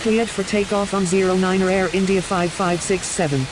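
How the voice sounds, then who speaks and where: A performer voicing a calm, businesslike airline pilot reading back clipped radio phraseology in an air traffic control game. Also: mid-range voice, moderate pace, close to an everyday voice. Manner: formulaic, procedural read-backs.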